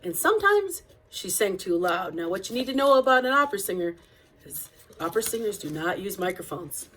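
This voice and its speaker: A woman reading a picture book aloud as narrator, with deliberate, expressive phrasing. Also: medium pitch, slight background noise. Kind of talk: read-aloud storybook narration.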